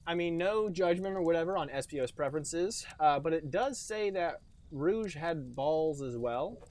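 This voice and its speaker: A man's voice: a little high, lively intonation, normal speed, darkish tone. A man co-hosting a comedy podcast, chatting casually and laughing often while quoting lines with mock drama. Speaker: podcast co-host.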